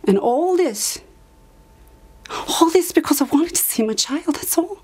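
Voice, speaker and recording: A woman's voice: high voice, animated, a little mellow. Actress as anguished, haunted woman survivor. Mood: desperate, resolute. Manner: halting, emphatic repetition, sudden drops to whisper. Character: anguished woman survivor of an Irish Magdalene asylum, recalling abuse. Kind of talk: dramatized monologue, confessional testimony.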